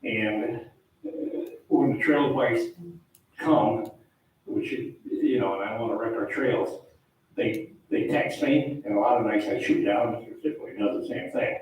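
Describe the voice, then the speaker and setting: A man, older, a town board official talking loudly and rambling at a public meeting. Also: medium pitch, a little slow. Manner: loud, chatty, rambling. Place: town hall meeting room. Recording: echoey hall, distant mic, faint noise.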